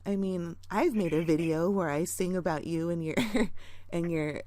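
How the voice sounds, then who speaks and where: A woman caller chatting casually on the phone, halting and amused, with a giggly way of talking. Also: on the low side, fairly even intonation, very noisy.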